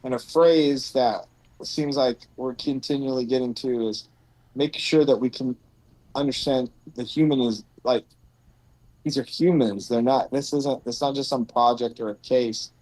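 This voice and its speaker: A man's voice: mid-range voice, fairly even intonation, unhurried, darkish tone, dry recording, poor audio. A man in his late thirties who runs a rescue mission, talking earnestly and thinking aloud in a casual conversation outdoors. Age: 30s. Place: outdoors on a bench.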